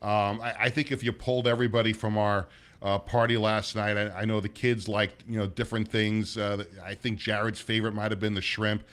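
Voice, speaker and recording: A middle-aged man hosting a casual sports talk show, his voice a little raspy, rambling with many restarts and sounding sluggish. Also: on the low side, little pitch movement, a little fast, fairly bright tone. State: sluggish, low energy. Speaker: sports radio host.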